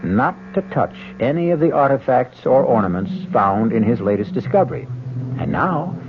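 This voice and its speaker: Muffled old radio, male host, low voice, music behind, measured narration. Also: big pitch swings, noisy background. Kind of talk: 1970s scripted radio narration.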